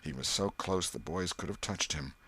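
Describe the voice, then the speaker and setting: A man reading a classic story as an audiobook narrator, with measured, expressive delivery. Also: low-pitched, breathy edge.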